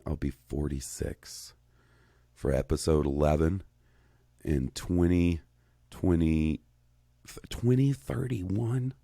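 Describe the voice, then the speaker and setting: Deep-voiced man in his thirties, rambling. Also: no echo, studio quality. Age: 30s. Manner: rambling, profane, excitable. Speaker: podcast co-host. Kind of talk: casual podcast chat.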